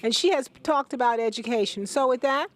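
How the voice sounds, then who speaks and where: A woman's voice: a little high, fairly quick. A woman interviewing guests at an event as the host, repeating their answers aloud for the audience.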